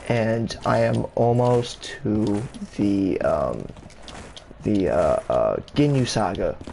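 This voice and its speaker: Slow, rambling young man gamer. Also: gravelly. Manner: rambling, many fillers and restarts, swears casually; pitch jumps up when he gets excited. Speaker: gaming YouTuber. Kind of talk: casual rambling vlog chat. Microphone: console camera mic. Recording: steady background noise.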